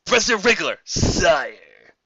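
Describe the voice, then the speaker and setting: A man's voice: sits high, sing-song, slow, pushed and bright, husky, no room sound, highs cut off, voice pushed up, heavily acted. A man voicing a resentful creature servant in a fantasy story, grumbling and spitting with indignation. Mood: bitter, indignant.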